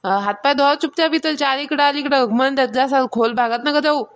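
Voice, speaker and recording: A woman's voice: high-pitched, a little fast. A woman with a Varhadi Marathi accent voicing a nagging, exclaiming young village mother in a cartoon comedy. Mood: scolding.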